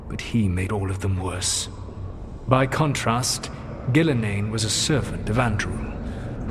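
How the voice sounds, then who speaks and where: Male actor as ancient elf mage, low voice, formal, solemn, regretful, fantasy game, noticeable background noise. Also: lightly acted. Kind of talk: scripted fantasy game dialogue.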